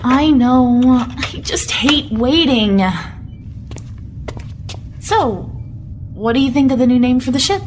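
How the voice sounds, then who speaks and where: A woman's voice: high voice, lively intonation, takes it slowly, long pauses, noisy background. A woman playing a friendly, restless starship crew member in an audio drama, talking casually.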